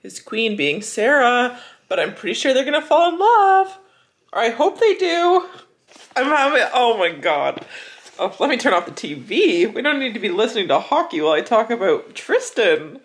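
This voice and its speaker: A young adult woman chatting casually as a book vlogger, gushing and animated, with dramatic emphasis and plenty of "like" as filler. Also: a little high, moderate pace. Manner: frequent filler "like". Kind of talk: casual reading vlog chat.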